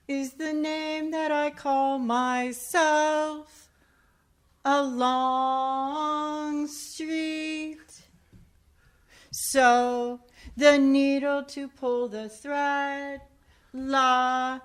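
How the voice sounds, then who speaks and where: A woman plays a teacherly governess in a radio musical spoof. Her voice is high and her delivery very slow, moving between instruction and song. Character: instructive female singing governess leading children. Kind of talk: radio comedy musical parody.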